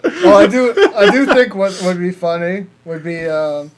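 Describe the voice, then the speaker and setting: A man's voice: high voice, lively intonation, measured pace. A man co-hosting a podcast, riffing casually and profanely in rambling sentences, often giggling as he talks. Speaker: podcast co-host. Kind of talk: casual joking chat, riffing on ideas.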